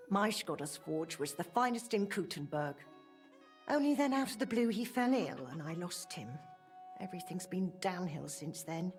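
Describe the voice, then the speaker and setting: An actress playing a kindly, wistful older widow and plain-spoken townswoman with a husky voice in a video game. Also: mid-range voice, lightly acted. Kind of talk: video game dialogue acting.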